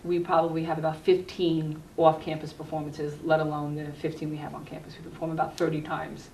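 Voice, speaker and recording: A woman's voice: low-pitched, flat delivery, a little fast, a little mellow. A middle-aged woman, an educator, explaining her work earnestly in a conversational interview as a guest. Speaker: guest, university health educator. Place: echoey room, cable TV program recording. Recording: roomy echo, distant mic, faint noise.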